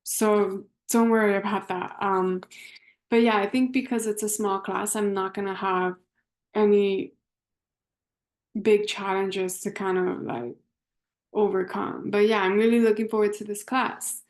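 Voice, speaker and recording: A young woman from Miami talks through a casual self-introduction for a class. She speaks slowly and keeps her pitch flat and even, wandering and correcting herself as she goes. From Miami, Florida. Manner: rambling, self-correcting, conversational.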